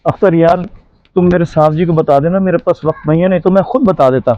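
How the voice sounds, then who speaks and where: A man's voice: fairly high pitch, natural voice. A man acting as an honest, respectful household servant in an amateur moral skit. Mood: urgent. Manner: earnest, deferential. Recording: dull lo-fi sound, faint noise.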